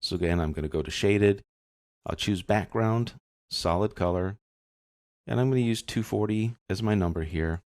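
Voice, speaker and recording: A man narrating a software tutorial as an instructor, explaining step by step in a casual way. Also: fairly low pitch, normal speed, dry recording, clean studio sound.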